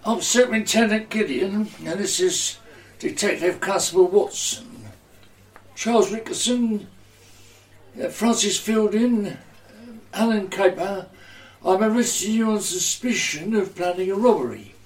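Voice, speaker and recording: A brisk police superintendent, played by a man, making an arrest in an amateur comedy drama. His voice sits high for a man. He sounds a little distant from the microphone. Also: echoey room. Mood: stern.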